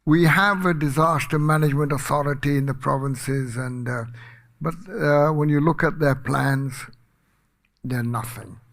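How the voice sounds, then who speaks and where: An older man speaking on a policy panel, soft-spoken and hesitant, with frequent fillers. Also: on the high side, steady tone, measured pace, vocal fry. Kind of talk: panel talk.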